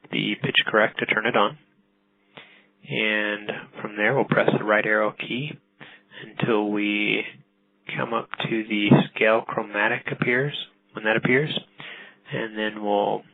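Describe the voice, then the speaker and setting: Gravelly man giving a halting demo. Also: on the low side, phone-quality sound. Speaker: product demonstrator. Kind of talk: casual product tutorial narration.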